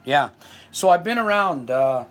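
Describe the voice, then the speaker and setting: A man's voice: on the high side, lively intonation, measured pace, a bit bright. A man in his fifties from Puerto Rico, giving an earnest self-help livestream talk and answering viewer comments, often repeating words as he goes. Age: 50s.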